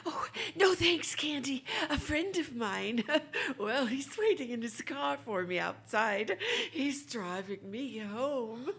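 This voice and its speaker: Actress as posh-mannered lady executive, old radio play, husky but highish, very swoopy delivery. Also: animated, takes it slowly, hardly any pauses, fairly bright tone, full character voice. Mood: gushing. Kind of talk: 1940s radio drama acting.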